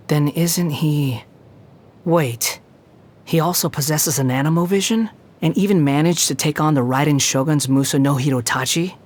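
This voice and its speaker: Husky, haughty young immortal played by a man. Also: fairly high pitch, moderate pace, close to an everyday voice. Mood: surprised. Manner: haughty, sardonic, sneering. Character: scornful, immortal young male wanderer, not human. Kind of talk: scripted video game character voice lines.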